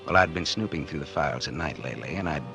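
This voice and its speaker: A man's voice: extremely low pitch, lively intonation, fast talker. A man playing a bitter, scheming publisher in a 1940s radio drama, sardonic and slangy, with an American accent and a hard-boiled delivery. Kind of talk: 1940s radio acting, first-person noir narration. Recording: old 1940s radio recording with music.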